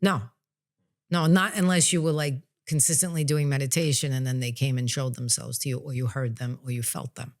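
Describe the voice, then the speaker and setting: A woman speaking as a psychic medium guest, explaining things in a casual, conversational way with halting restarts. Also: a bass voice, steady tone.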